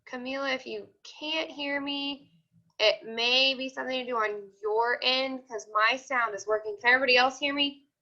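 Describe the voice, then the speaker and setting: A woman's voice: sits high, steady tone, moderate pace, pushed and bright. A woman teaching children in an informal online class, explaining things step by step and often checking they follow. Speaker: elementary school teacher. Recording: dull, lo-fi video call audio.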